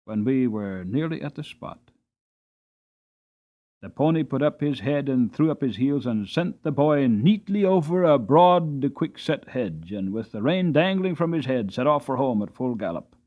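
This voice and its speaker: A man's voice: mid-range voice, animated, moderate pace, narrow, tinny audio. A man narrating a storybook as a dramatic scripted reading. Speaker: audiobook narrator.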